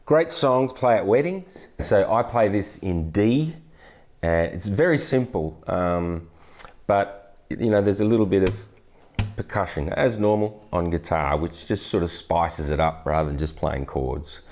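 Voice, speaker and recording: A man, teaching guitar in a relaxed, chatty way with plenty of restarts, on a lapel mic in a studio. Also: sits low, a little slow. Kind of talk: casual guitar tutorial. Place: indoor studio, brick wall backdrop. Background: acoustic guitar playing.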